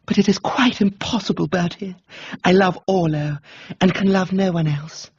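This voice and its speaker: A man voicing an imperious, excitable young upper-class Englishwoman in a dramatised book reading. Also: high-pitched, normal speed, slightly rough, no echo, dull audio, voice pushed up, heavily acted.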